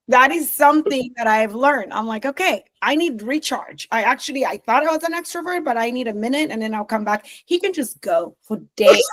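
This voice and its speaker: A woman from Zambia, a company co-founder, talking in a podcast interview in an animated way, laughing often and restarting her sentences. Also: a little high, normal speed.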